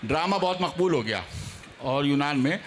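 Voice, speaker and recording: Panelist, male. Slightly high pitch, formal lecturing delivery with English terms, faint background noise. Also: animated, normal speed. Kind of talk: formal panel discussion talk.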